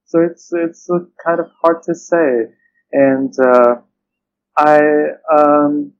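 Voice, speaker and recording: A man's voice: on the high side, slow pace, muffled recording, slight background noise. A man speaking as an interview guest and translator, thoughtful and hesitant, often rephrasing as he reflects.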